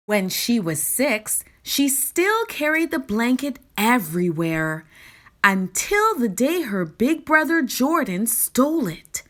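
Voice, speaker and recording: A woman reading a picture book aloud as a children's story reader, with expressive, deliberate storytelling. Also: on the high side.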